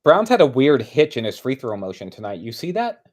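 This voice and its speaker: A man's voice: medium pitch, very animated, fairly quick, darkish tone. A man co-hosting casual sports commentary, analysing the game in a conversational, explanatory way.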